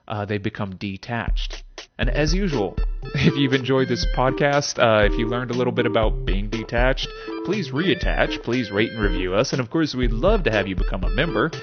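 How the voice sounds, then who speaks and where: Male podcast host, lowish voice, conversational lecturer, somewhat muffled lo-fi recording. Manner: conversational lecturing, rhetorical check-ins. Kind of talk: explanatory philosophy podcast monologue with read-out essay passages. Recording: dull lo-fi audio over music bed. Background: background music.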